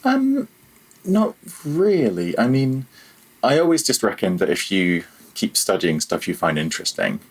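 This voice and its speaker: A man's voice: on the low side, big pitch swings, unhurried, husky. A man in his thirties chatting casually as an interview guest, reflective and a little hesitant, with a self-deprecating way of talking. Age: 30s. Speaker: podcast guest, audio programmer.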